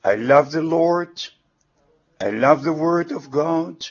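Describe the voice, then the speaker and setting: A man with a German accent, a native German speaker, preaching a sermon in slow, measured, deliberate short phrases. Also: fairly high pitch. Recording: dull lo-fi sermon recording.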